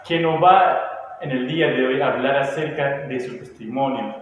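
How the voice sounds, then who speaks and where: A man's voice: medium pitch, moderate pace, muffled recording. Panamanian male church host, prayerful, rephrases questions; roomy distant recording. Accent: Panamanian Spanish. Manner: prayerful, repeats questions several ways. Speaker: host of a church online show. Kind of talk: church testimony interview. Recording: echoey hall, distant mic, faint noise.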